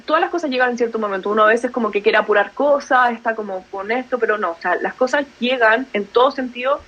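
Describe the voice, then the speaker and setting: A young woman speaks with a Chilean Spanish accent in a relaxed, chatty way. She laughs easily and leans on fillers. Her voice sits a little high, and the recording sounds slightly muffled and lo-fi. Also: quick pace. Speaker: podcast guest, physiotherapist. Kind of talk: casual conversational interview.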